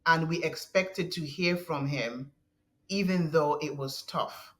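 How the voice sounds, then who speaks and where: A woman guest with a deep voice, talking in an earnest, halting way with frequent restarts and soft laughs. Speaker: podcast guest. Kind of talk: conversational interview.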